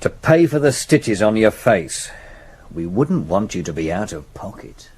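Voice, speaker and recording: A man's voice: lowish voice, big pitch swings, unhurried, darkish tone, husky, full character voice. A British man playing a dry, forthright Victorian London policeman in a 1970s radio drama. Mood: dry, needling.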